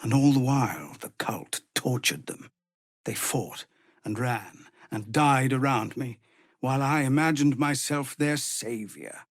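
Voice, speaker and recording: An actor playing a weary, honourable tiefling ex-paladin leading refugees in a fantasy game, husky and breathy, with grave, measured, formal delivery. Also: male voice, mid-range voice, no room sound, clean studio sound. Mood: remorseful, grave. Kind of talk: dramatic fantasy game voice acting.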